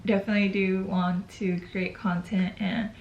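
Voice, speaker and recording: A woman's voice: mid-range voice, flat delivery, normal speed, roomy sound, highs cut off, background noise. A woman in her twenties talking casually to the camera in a yearly check-in video, chatty and a bit hesitant, with frequent ums. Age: 20s. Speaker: YouTuber.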